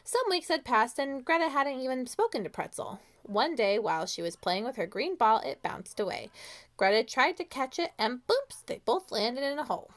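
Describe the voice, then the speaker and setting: A woman reads a children's story in a fairly high voice. Her delivery is very animated and expressive, with dramatic pauses and direct questions to her young listeners. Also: slight background noise. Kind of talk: animated picture-book read-aloud for young children.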